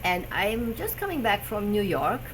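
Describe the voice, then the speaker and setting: A woman's voice: mid-range voice, big pitch swings, normal speed, background noise. A woman with a German accent, hosting a live stream as a personal trainer, chatting about health with frequent fillers while searching for English words. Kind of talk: casual live stream talk.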